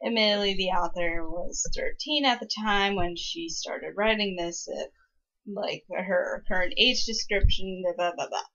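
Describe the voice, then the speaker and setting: A woman giving casual critical commentary in a pedantic, halting way, on a lo-fi recording. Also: medium pitch, a bit bright.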